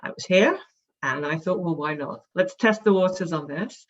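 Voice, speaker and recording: A woman's voice: lowish voice, normal speed, muffled recording. A woman from England with a London accent, a startup CEO speaking in a composed, articulate way in a podcast interview. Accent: London English.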